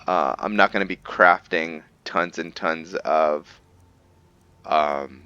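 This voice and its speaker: A man's voice: extremely low pitch, slow, pushed and bright, smooth, no echo, lo-fi sound. A man giving casual roleplay gameplay commentary, thinking aloud to himself as he plays. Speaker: gaming video host. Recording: background music under voice. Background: game music.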